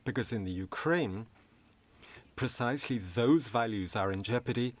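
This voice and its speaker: A man working as a simultaneous interpreter, rendering a debate speech in a steady, measured way in short phrases, into a booth microphone. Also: mid-range voice.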